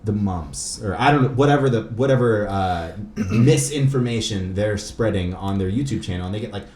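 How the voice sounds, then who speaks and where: A man's voice: lowish voice, moderate pace, a little mellow. A man hosting a podcast in a casual, informal way, rambling and questioning as he talks. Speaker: podcast host. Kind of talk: casual conversational interview talk. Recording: roomy echo with faint background noise.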